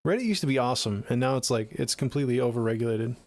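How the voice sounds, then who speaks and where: A man's voice: medium pitch, lively intonation, very fast, darkish tone, dry recording. A middle-aged man with an American accent chatting casually on a livestream in a laid-back, slangy way, close to the mic. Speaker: music production livestreamer. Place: small home studio room, indoors.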